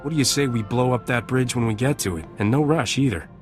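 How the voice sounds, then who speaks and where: An actor playing a cocky, flirtatious smuggler captain in a video game, swaggering and glib with a teasing delivery. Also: male voice, mid-range voice, quick pace, faint music behind, natural voice. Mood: flirty, glib. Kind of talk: scripted video game dialogue.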